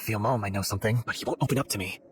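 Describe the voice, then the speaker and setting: Quick-talking, earnest male scriptwriter played by an actor. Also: on the low side, a little hiss or hum, lightly acted. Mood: grieving, bitter. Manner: wordy, earnest storyteller. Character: excitable, earnest male theatre scriptwriter, a nervous fan. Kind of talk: video game voice acting.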